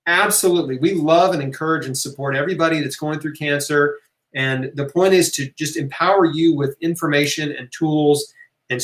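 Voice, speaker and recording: A man hosting a casual live question-and-answer talk, speaking informally and emphatically, encouraging listeners and repeating key phrases. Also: a little high. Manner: informal, conversational, checks in with "right?" and "okay?". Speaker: host of a live health Q&A. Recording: roomy, echoing room sound.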